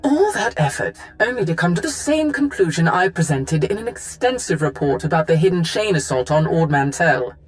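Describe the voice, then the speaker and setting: An actress playing a superior, exasperated Sith lord in a video game, speaking with condescension. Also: female voice, sits low, sing-song, normal speed, hardly any pauses, soft background music. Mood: disdainful. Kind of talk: video game cutscene acting.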